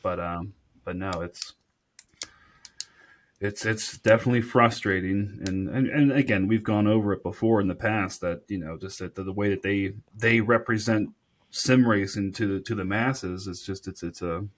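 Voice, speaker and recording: A man co-hosting a podcast in casual chat, halting and restarting as he works through his thoughts. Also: fairly low pitch. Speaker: podcast co-host.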